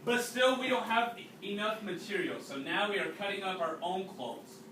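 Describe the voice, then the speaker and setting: A man reading a storybook aloud to a class as their teacher, pausing to explain and ask questions. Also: sits high, pushed and bright. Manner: instructive, checking understanding with questions. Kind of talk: read-aloud with explanatory asides and questions to pupils. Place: indoors, classroom. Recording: roomy, distant mic, faint background noise.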